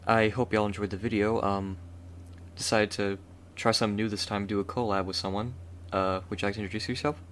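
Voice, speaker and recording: A man with a low voice gives a casual creator's outro. He is informal and friendly, feeling his way through sentences. The recording is clearly noisy. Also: level tone, fairly quick, fairly bright tone. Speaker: video creator, voice actor.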